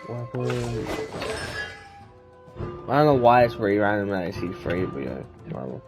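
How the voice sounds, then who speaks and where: A man's voice: fairly low pitch, big pitch swings, normal speed, creaky. A man doing casual gameplay commentary, muttering to himself as he plays. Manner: muttering, talking to self. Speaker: game streamer. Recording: game music behind the voice.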